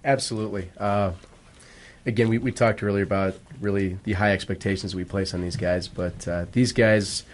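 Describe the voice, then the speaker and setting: A man speaking as a school football coach in a radio interview, earnest and halting as he explains. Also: on the low side, steady tone.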